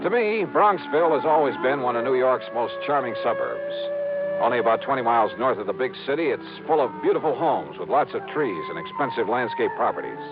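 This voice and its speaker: A man's voice: medium pitch, lively intonation, a little fast, natural voice. An actor playing a dry, wisecracking male insurance investigator who narrates a 1950s radio drama, on a dull old broadcast recording with music. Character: wry hard-boiled male insurance investigator. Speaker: narrator and lead.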